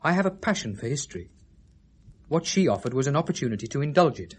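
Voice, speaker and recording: A man's voice: medium pitch, very animated, quick pace, husky. An actor in a 1970s British radio drama playing a defensive, articulate Englishman with a dry, precise, prickly manner. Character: defensive, articulate man questioned about dead young woman.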